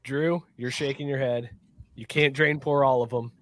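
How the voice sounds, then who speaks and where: A man's voice: medium pitch, fairly even intonation, moderate pace, dry recording. A man hosting a casual sports banter podcast, talking with enthusiasm and plenty of slang and swearing. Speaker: podcast host.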